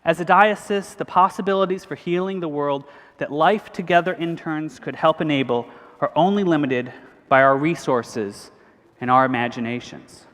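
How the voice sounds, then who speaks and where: A priest, giving an earnest, measured convention speech. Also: male voice, on the high side, a bit bright. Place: large cathedral. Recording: long hall reverb.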